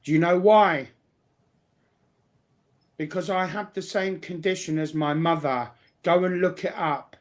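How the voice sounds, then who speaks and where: A man's voice: fairly high pitch, unhurried, pauses often. A blunt, sweary man chatting casually as a guest on a livestream.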